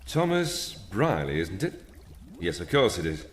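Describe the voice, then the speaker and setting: An actor playing a smooth, menacing interrogator in an audio drama. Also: male voice, low voice, big pitch swings, a little slow. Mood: quietly menacing. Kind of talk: audio drama acting in a trailer. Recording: echoey room, faint music behind.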